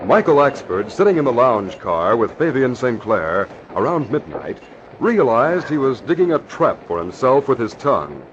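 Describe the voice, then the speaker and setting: Animated male old-time radio narrator. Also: mid-range voice, moderate pace. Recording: muffled, noisy old radio recording.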